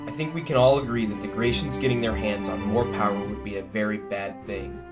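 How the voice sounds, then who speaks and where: A man's voice: on the low side, fairly even intonation, a little fast, lightly acted. A man playing an adventurous, earnest young crewman in a science fiction audio drama, talking in a casual way. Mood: persuasive, earnest. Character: adventurous young spaceship crewman, earnest persuader with a haunted past. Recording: music playing under the dialogue.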